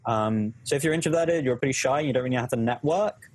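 Measured young male guest. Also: medium pitch, no room sound. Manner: teasing, measured, thoughtful. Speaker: guest on live Q&A. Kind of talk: casual live-stream chat.

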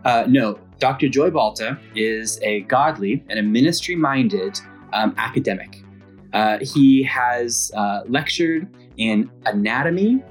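A man's voice: medium pitch, expressive, measured pace, faint music behind. Easygoing man hosting a podcast. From California. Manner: easygoing, chuckles often, hesitant fillers. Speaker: podcast host. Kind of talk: casual interview chat. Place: lives in Ireland.

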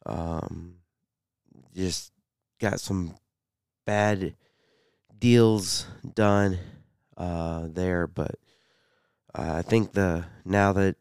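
A man hosting a solo sports podcast, a bit congested, talking haltingly with many fillers. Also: low voice, steady tone, a little mellow, smooth, no echo, studio quality. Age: 30s. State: congested, slight cough. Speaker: podcast host.

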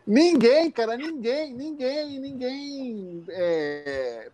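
A man from the Campinas area of Brazil, telling childhood stories in a casual, animated way on a live call, laughing often. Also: very high voice, very slow. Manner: animated, laughing, many restarts. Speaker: guest. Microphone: phone on a live stream.

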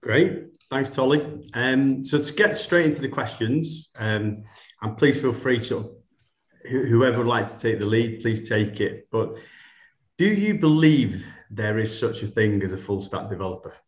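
A man's voice: medium pitch, expressive, a little slow. Roomy-sounding male interview host. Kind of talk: hosting a panel discussion.

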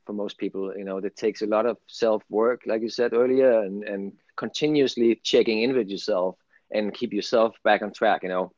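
A man's voice: on the low side, moderate pace, darkish tone, no room sound, highs cut off. A middle-aged man from Denmark with a Danish accent, talking in an earnest, rambling way in a casual spiritual conversation. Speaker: guest, spiritual channel.

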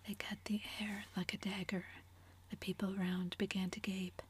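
Woman narrating in a soft whisper. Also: mid-range voice, flat delivery, moderate pace, a little hiss or hum. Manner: soft unvoiced whisper throughout. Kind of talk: whispered audiobook reading.

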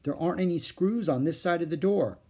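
A man's voice: on the high side, fairly quick, darkish tone, breathy edge, voice pushed up. A man doing a stern scolding mother. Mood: puzzled. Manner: firm and scolding. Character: stern, practical mother of young children.

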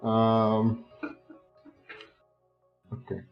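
A young man hosting a podcast interview in a relaxed, playful, unhurried way. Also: lowish voice. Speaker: podcast host. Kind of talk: casual interview. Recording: dull lo-fi audio with faint music.

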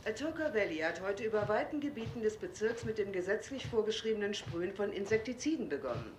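Through loud noise and echo, an actress plays a female news reporter. She reads a scripted report in a formal, factual way, as in a TV drama. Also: mid-range voice, expressive, fast, close to an everyday voice. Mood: matter-of-fact. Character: matter-of-fact female local news reporter. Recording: echoey, very noisy broadcast sound.

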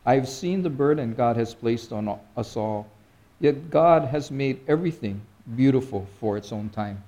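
A middle-aged man preaching an informal sermon in a halting, conversational way, with many fillers and restarts. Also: medium pitch, animated, warm tone. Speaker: pastor.

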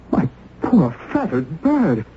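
A man's voice: on the high side, huge pitch swings, slow pace, full character voice. An actor playing a compassionate, earnest young clergyman of colonial times, formal and theatrical in a radio drama. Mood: tender, compassionate. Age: young adult. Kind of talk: old-time radio acting. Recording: dull, hissy old radio recording.

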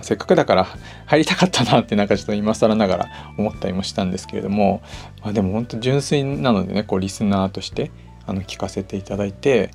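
A man with a fairly low voice talks quickly over background music. He hosts his own show in a casual, chatty way, speaking straight to listeners. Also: vocal fry, a little mellow. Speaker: host of a personal audio show. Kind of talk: casual solo talk to listeners. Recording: music playing behind the voice.

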